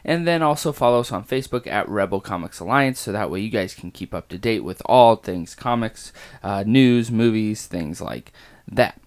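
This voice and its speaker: A man with a raspy voice hosting a casual comic book podcast, talking with many pauses, fillers and restarts. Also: on the low side, animated, a little mellow.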